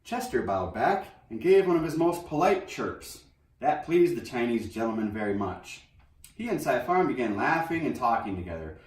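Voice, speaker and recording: A man reading a children's novel aloud in an expressive storybook narration style. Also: medium pitch, normal speed. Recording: roomy echo, distant microphone.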